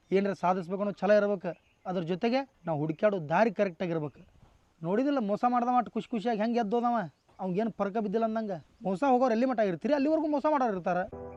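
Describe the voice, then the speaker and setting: Man as a firm, earnest mentor giving a lecture, North Karnataka accent, quick high voice, faint music. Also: darkish tone, close to an everyday voice. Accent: North Karnataka Kannada. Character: stern but caring elder-brother mentor man. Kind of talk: short film drama. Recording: slightly dull with faint music.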